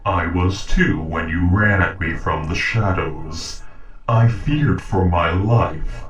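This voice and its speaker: Man playing a slow, deep, formal robot. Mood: conciliatory. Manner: formal, measured, precise diction. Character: polite, logical humanoid robot interrogating a captive human. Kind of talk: scripted audio roleplay monologue. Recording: roomy echo, distant from mic.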